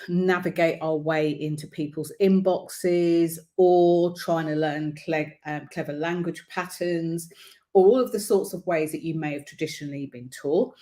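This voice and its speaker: Woman with a low croaky voice doing a casual coaching livestream, chatty and encouraging. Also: darkish tone. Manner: chatty, many ums, encouraging. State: croaky throat. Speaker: visibility coach hosting a livestream. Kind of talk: casual livestream talk to camera.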